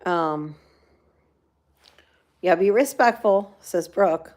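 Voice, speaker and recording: Relaxed Cuban-accented woman chatting live. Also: lowish voice, extremely slow. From grew up in Florida. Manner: rambling, talks straight to viewers, many fillers. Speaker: livestream host. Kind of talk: casual livestream chat, reading viewer comments.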